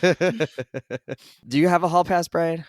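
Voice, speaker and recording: A hoarse, gravelly-voiced man in his forties, hosting a casual podcast chat and laughing often. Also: a little high, fairly even intonation, measured pace, a little mellow, no room sound, clean studio sound. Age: 40s. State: hoarse from coughing. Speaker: podcast host.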